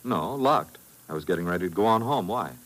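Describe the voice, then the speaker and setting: An actor playing a brisk, skeptical office official with a matter-of-fact manner in a 1950s radio drama. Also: male voice, low-pitched, animated, a bit bright, rough recording.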